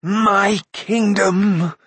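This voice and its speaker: A man voicing a greedy, cowering steward in an audiobook, with a tight, high-pitched voice and drawn-out, excited cries. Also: husky, no echo, full character voice. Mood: greedy frenzy. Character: greedy, cowering male chief steward, shrill and eager. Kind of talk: audiobook character voice.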